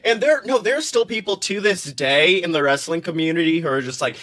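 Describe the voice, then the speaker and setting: A man hosting a casual comedic podcast, telling stories in an animated way, swearing freely and acting out quotes. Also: a little high, fairly quick. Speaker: podcast host.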